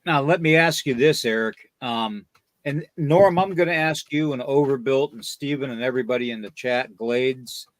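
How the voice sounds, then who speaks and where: A man's voice: medium pitch, normal speed, no room sound. A man hosting a casual live chat show, talking in a friendly, rambling way and repeating his phrases. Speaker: podcast host. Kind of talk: casual live chat, greeting and asking listeners.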